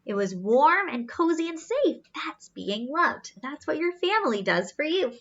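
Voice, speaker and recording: A woman's voice: sits high, big pitch swings, measured pace, a bit bright, lo-fi sound. A woman reading a children's storybook aloud expressively, adding explanatory asides and wondering aloud to young listeners.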